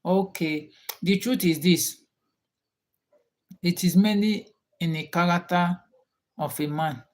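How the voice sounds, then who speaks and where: A man's voice: high voice, slow pace. A man from Nigeria with a Nigerian accent, hosting a casual livestream chat and repeating his questions to viewers. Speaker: livestream host.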